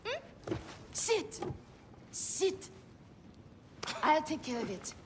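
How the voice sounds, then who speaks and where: An actress playing a caring, motherly woman who tends wounds, gently scolding in a game cutscene. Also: high voice, very animated, slight background noise, heavily acted. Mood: fussing. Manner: fussing, scolding gently, unhurried.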